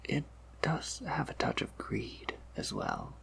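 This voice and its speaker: A man voicing a courteous, softly amused vampire apothecary shopkeeper in a whispered ASMR roleplay, with formal, gentle phrasing. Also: mid-range voice, wildly swooping pitch, heavily acted. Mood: gentle, reassuring. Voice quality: breathy whisper with gravelly creak. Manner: polite, gentle, formal, unhurried.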